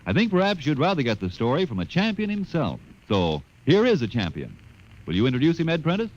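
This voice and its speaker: A man delivering a folksy, emphatic commercial pitch as an old-time radio announcer. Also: huge pitch swings, brisk, a little hiss or hum. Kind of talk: 1950s radio advertising pitch. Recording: dull vintage radio recording.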